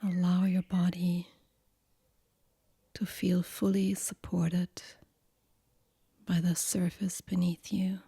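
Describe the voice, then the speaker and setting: A woman guiding a yoga nidra meditation in a hushed, breathy near-whisper, gentle and soothing, with long pauses between phrases. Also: fairly low pitch, little pitch movement, no room sound. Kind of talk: guided relaxation meditation, soft and whispery.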